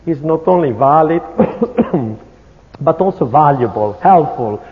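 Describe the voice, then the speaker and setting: A middle-aged man from Italy with an Italian accent, giving an earnest, measured sermon-style talk at a church conference. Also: on the high side, animated. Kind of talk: sermon-style lecture with testimony. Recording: tinny, phone-like, echoey hall.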